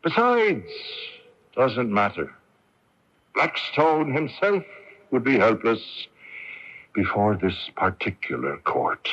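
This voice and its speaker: An actor playing a condemned Irish patriot in a radio drama, bitter, fatalistic and eloquent, with a theatrical, sardonic and deliberate delivery. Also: male voice, medium pitch, wildly swooping pitch, fairly bright tone, breathy edge, full character voice. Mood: resigned, wry. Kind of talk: radio drama acting with narration. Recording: dull, muffled 1980s AM radio recording.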